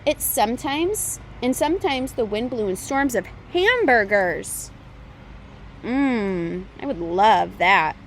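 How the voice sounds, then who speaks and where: A woman with a fairly high voice reads a picture book aloud for young children. Her phrasing is expressive and deliberate, in the style of a storytime reader. There is some faint noise behind her on the recording.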